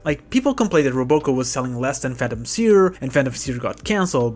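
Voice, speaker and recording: A man hosting a scripted commentary video, talking with dry sarcastic jokes. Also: on the high side. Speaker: manga video essayist.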